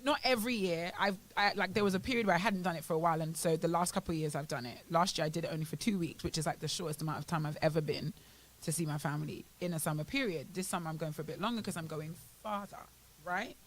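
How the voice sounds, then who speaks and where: A young British woman co-hosts a morning radio show from the studio. She chats casually and tells stories in an animated way, acting out the quotes. Her accent sometimes drifts toward Bahamian. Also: on the low side, fast talker. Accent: British, at times Bahamian. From Britain. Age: young adult. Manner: chatty, animated storyteller, acts out quotes.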